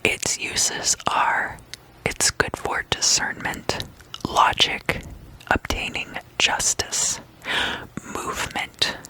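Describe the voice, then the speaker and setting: An ASMR host whispering a guided meditation lesson in a soft, breathy, unvoiced voice, unhurried and often repeating words softly. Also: rough recording.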